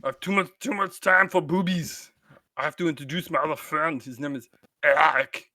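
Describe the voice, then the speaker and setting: A man's voice: on the high side, steady tone, normal speed, bright, forceful tone. A man hosting a casual podcast, introducing his friends with playful jokes. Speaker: podcast host.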